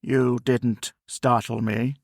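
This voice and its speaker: A man voicing a stern, gruff older Victorian professor who speaks curtly and commandingly. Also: mid-range voice, little pitch movement, no room sound, clean studio sound. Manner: gruff, curt, slow and commanding.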